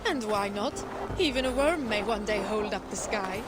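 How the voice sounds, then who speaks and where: An actress playing a shrewd, ambitious and devoted Viking wife in a video game cutscene. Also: female voice, medium pitch, lively intonation, moderate pace, natural voice. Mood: wistful. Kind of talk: dramatic game cutscene acting. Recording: music behind the voice.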